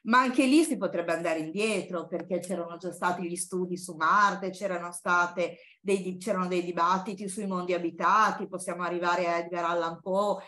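A woman's voice: on the low side, steady tone, normal speed, few pauses, sharp tone. Older woman introducing a lecture, thinking aloud. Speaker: academic host introducing a guest speaker. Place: university classroom.

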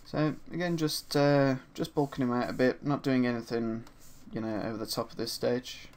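A man with a slightly hoarse voice from a sore throat narrates a screen-recorded 3D sculpting tutorial in a relaxed, chatty way, with frequent ums and uhs. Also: mid-range voice, moderate pace. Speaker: 3D art instructor. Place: at his desk, voice-over for a screen recording.

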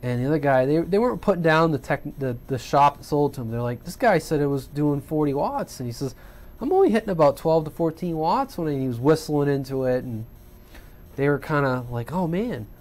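A man's voice: fairly high pitch, expressive, brisk, a little mellow. A middle-aged man giving a casual, off-the-cuff hands-on tutorial at his home radio workbench, folksy and rambling. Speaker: CB radio channel host. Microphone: desk mic off camera.

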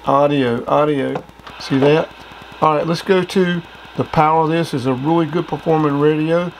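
A man's voice: on the high side, fairly even intonation, moderate pace, low-quality recording. A man giving a casual demonstration of gear as a hobbyist. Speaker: radio hobbyist reviewing gear. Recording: clear background noise.

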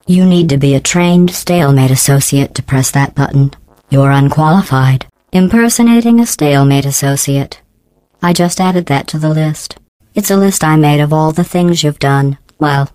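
A sinister female computer intelligence from a video game. Her voice is low, smooth and robotic, recorded very dry. She speaks with measured precision and passive-aggressive, deadpan sarcasm. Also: no echo. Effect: robotic synthetic voice processing. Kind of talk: scripted video game character acting.

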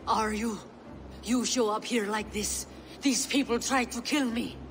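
A woman's voice: a little high, steady tone, unhurried, slight background noise. An actress playing a tense, guarded, suspicious Norse woman in a video game. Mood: alarmed, suspicious. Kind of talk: video game cutscene acting.

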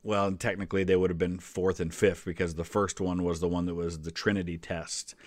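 Deep-voiced man explaining history casually. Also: little pitch movement, fairly quick, darkish tone, no room sound. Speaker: history video host. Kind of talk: casual explanatory reaction commentary.

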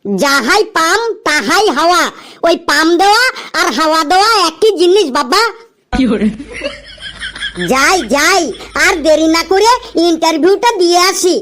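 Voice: very high-pitched, animated, a little slow, sharp tone, exaggerated voice. A performer voicing a lazy, dim-witted and cocky young son in a comedy cartoon, whining and boasting. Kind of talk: Bengali comedy cartoon dialogue.

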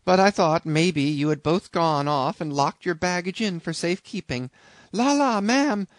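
A man voicing an excitable young chambermaid who exclaims in alarm. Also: sits high, measured pace, no echo, voice pushed up. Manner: breathless, exclaiming. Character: panicked chambermaid woman, excitable.

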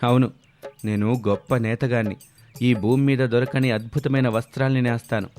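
Man playing a boastful smooth-talking swindler. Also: medium pitch, fairly even intonation, normal speed, darkish tone, close to an everyday voice. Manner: boastful, persuasive salesman talk. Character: smooth-talking male swindler posing as heavenly weaver. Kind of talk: scripted story dialogue. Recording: background music behind the voice.

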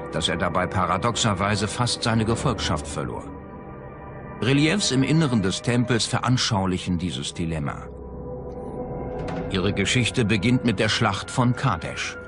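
A man delivers scripted documentary narration in a fairly deep voice. He presents as the narrator of the programme, with music playing clearly behind him. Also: animated, measured pace. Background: prominent background music.

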